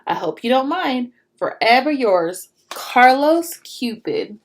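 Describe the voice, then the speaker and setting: Woman voicing a courtly, high-pitched Cupid. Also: sing-song, slow, full character voice. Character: courtly, apologetic male Cupid writing a letter.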